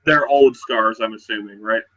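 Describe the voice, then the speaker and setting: A man playing a laid-back, plain-spoken werewolf in casual, halting improvised roleplay. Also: lowish voice, a bit bright, lo-fi sound, natural voice. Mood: dry. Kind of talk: improvised in-character roleplay dialogue.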